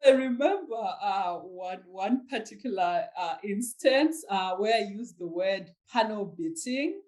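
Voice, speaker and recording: A woman's voice: mid-range voice, lively intonation, measured pace, darkish tone. Hesitant Zimbabwean woman. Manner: hesitant, restarting often. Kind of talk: casual interview answer.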